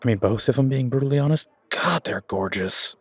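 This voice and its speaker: A man's voice: medium pitch, expressive, fairly quick, slightly rough, dry recording. A man playing a down-to-earth, blunt human restaurant owner in an audio roleplay, plain-spoken and understated. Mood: awed. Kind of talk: fantasy audio roleplay acting.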